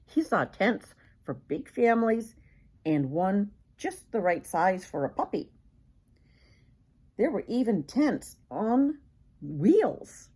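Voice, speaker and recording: A woman's voice: medium pitch, big pitch swings, slow pace, mellow, husky. An older woman reading a children's picture book aloud expressively, as a grandmother would, with questions to the young listener.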